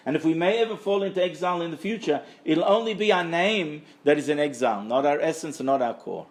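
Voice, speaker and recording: A man's voice: fairly high pitch, darkish tone. A man giving a Torah lecture as a religious teacher, speaking emphatically and repeating phrases for stress. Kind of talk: Torah lecture to a live group.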